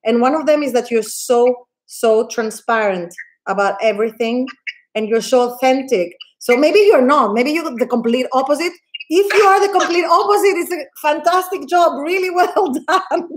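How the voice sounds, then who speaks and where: Lady hosting a live video chat, kinda high voice, gushing, keeps restarting. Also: normal speed. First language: Greek. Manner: effusive, enthusiastic, with frequent restarts. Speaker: host of a live online interview. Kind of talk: casual livestream interview.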